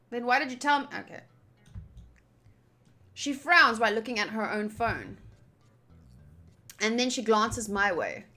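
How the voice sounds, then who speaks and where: A woman hosting a visual novel playthrough, reading the narration aloud and adding chatty, expressive asides. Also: mid-range voice. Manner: chatty, expressive, reacting fondly to the game. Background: background music.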